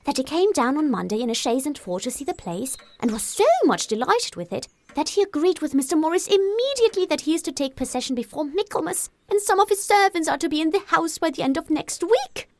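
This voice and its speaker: A woman voicing an excitable, nagging middle-aged wife with a British accent in an audiobook, gushing and fretful. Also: high-pitched, a little fast, hardly any pauses, no room sound, voice pushed up. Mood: excited, gushing. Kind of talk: audiobook character acting.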